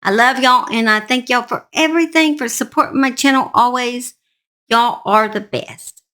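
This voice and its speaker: A woman's voice: fairly high pitch, moderate pace, bright, forceful tone. An older woman with a Southern US accent, telling a true-crime story in a casual, folksy, emphatic way as a channel host.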